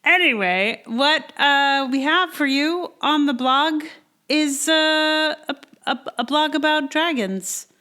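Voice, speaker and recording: A woman's voice: high voice, slow pace, pushed and bright. Wry woman podcaster in her forties. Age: 40s. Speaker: podcast host reading own blog post. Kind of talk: casual intro, then read-aloud personal essay. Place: indoors in a sparsely cushioned house. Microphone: portable travel microphone. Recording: travel mic in slightly echoey house.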